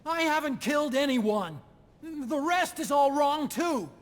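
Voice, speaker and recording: Shrill, whiny accused scientist, male. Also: lively intonation, measured pace, in a large hall. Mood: wounded pleading. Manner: pleading, whiny, defensive. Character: frantic, self-pitying accused male scientist pleading innocence. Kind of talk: dramatic video game cutscene acting.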